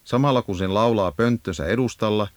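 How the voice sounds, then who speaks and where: A man reading scripted educational narration for a nature guide in a measured, instructive manner. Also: fairly low pitch, no echo. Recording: 1980s audio cassette narration.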